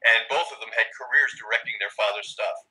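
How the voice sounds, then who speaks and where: Older guy on a video call telling old theater stories, bright forceful voice, lots of uh's. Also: medium pitch, fast talker, rough recording. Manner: anecdotal, acts out quoted dialogue, frequent "uh". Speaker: actor, interview guest. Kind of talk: storytelling in a video-call interview.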